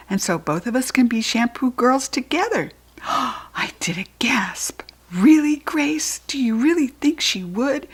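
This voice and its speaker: Expressive American woman reading a children's book. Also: on the high side. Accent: General American.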